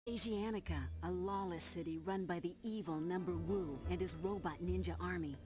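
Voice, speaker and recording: A woman playing a playful, brisk spy mission handler in a video game. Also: lowish voice, steady tone, close to an everyday voice. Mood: dramatic briefing. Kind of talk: video game briefing and dialogue. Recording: game music behind the voice.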